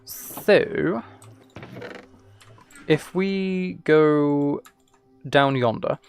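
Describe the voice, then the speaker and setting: Young man, creaky voice, casual game chat. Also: medium pitch, a little hiss or hum. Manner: rambling, joking, teasing. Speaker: game streamer.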